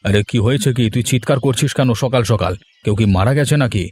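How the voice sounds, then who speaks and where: A man playing a gruffly kind village gentleman boss in a cartoon, commanding and blustery. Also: medium pitch, fast, close to an everyday voice. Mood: shocked, indignant. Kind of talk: cartoon voice acting.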